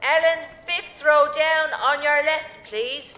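Showy bingo caller, high bright voice, slow announcing, echoey hall, audio drama. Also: female voice, steady tone, full character voice. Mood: commanding. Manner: announcing, projected.

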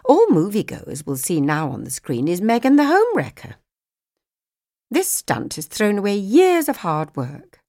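A woman voicing a hard-nosed, scolding female talent agent in an audiobook, with a brisk, blunt, emphatic manner. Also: mid-range voice, wildly swooping pitch, warm tone, dry recording, clean studio sound, pitched above the performer's own voice. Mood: stern lecturing.

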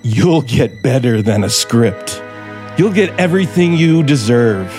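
Bitter, slow-talking American scriptwriter. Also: male voice, medium pitch, big pitch swings, a little mellow, faint music behind. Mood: seething, menacing. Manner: sardonic, deliberate, intense. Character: bitter, frustrated male scriptwriter, sardonic, seething with resentment. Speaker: actor in a radio drama. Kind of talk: 1950s American radio acting. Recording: vintage 1950s radio recording.

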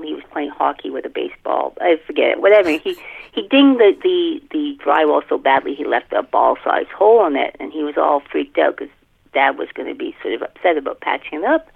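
An older woman, a guest author chatting casually in a podcast interview, animated and self-deprecating, repeating words for emphasis. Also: sits low, brisk, gravelly, husky. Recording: thin band-limited phone line.

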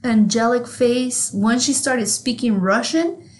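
A woman's voice: fairly high pitch, slow. A woman from Mexico with a Mexican accent, co-hosting a podcast in casual chat, telling stories candidly and swearing freely. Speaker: podcast co-host.

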